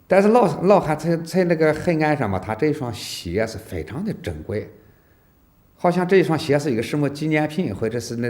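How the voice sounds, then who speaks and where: Man with widely swinging intonation. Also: medium pitch. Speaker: interviewee. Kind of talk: unscripted interview recollection.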